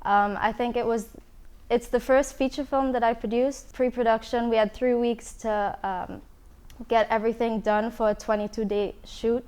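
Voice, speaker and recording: A woman film producer from Trinidad and Tobago, speaking calmly and matter-of-factly in an interview. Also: a little high, normal speed, clean tone. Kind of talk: casual interview talk.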